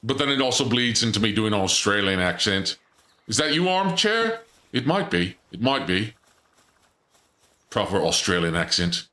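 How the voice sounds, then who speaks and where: Bright-voiced man streaming a game. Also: on the low side, very animated, moderate pace, reverberant. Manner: chatty, joking, reads chat aloud. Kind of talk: casual gameplay commentary.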